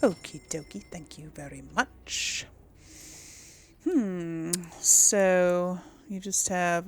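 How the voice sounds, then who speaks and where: A woman's voice: fairly low pitch, creaky. A woman giving casual, chatty gameplay commentary as host, musing aloud and often trailing off. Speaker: gaming video host.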